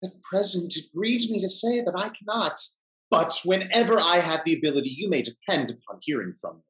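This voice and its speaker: An actor playing a falsely courteous, pompous English gentleman in a period stage comedy, speaking formally and unctuously. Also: sits high, lively intonation, fairly quick, low-quality recording. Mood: unctuous, regretful. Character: smooth hypocritical English gentleman, falsely courteous, secretly grasping. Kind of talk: theatrical period comedy acting. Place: at home on a video call.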